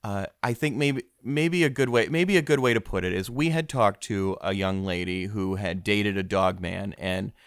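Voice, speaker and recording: A man's voice: lowish voice, normal speed, hardly any pauses, clear, resonant tone, no room sound, clean studio sound. A man hosting a mock-serious comedy interview, hesitant and stammering, sounding awkwardly earnest. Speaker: podcast host.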